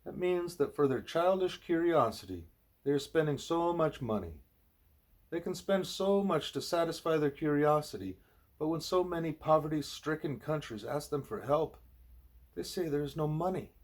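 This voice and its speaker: Man voicing a scornful, higher-pitched guru. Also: lively intonation, moderate pace, close to an everyday voice. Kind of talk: acted dialogue in an audiobook.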